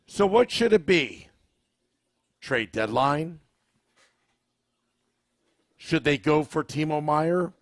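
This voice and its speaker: Scratchy-voiced man hosting a slow podcast. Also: on the high side, no echo, clean studio sound. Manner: relaxed, unhurried, asks the audience questions. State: scratchy, worn voice. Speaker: podcast host. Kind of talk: live-streamed podcast chat, reading viewer comments.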